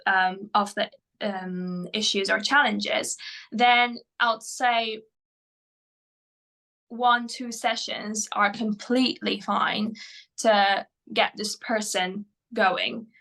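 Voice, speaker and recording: A woman whose first language is Polish, a mental performance coach answering interview questions in English, often pausing with fillers and restarting her sentences. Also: mid-range voice, steady tone, vocal fry, pushed and bright, poor audio. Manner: hesitant, many fillers and restarts.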